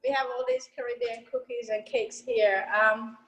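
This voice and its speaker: A woman from Hungary describes her pastries, pausing often on "um" as she goes. Her voice sits a little high. The room around her is echoey. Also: steady tone, a bit bright, dull audio. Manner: hesitant, many fillers. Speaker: baker presenting her pastries. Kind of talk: casual product presentation.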